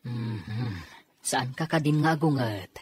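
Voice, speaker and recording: An actor playing a mild, apologetic working husband in an Ilocano radio drama, with a husky voice and a Filipino accent. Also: male voice, mid-range voice, animated, slow, no echo, full character voice.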